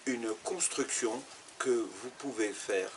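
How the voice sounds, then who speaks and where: A man's voice: mid-range voice, takes it slowly, noisy background. A man from Algeria, an Arabic teacher, answering interview questions in a thoughtful, explanatory way, with fillers and restarts.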